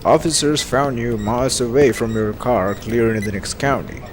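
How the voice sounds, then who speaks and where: A gentle but prying male hospital doctor, acted out by a man in a horror story. He explains things plainly at a middling pitch, with clear ambient noise behind him. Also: normal speed, natural voice. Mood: explaining. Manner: gentle, matter-of-fact explaining.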